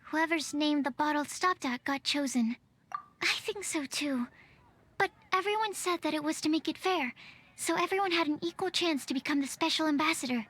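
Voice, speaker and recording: A woman's voice: high voice, moderate pace, a bit bright, slight background noise. A woman playing a shy, bookish young female alchemist in a video game, hesitant and precise like a scientist. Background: game music.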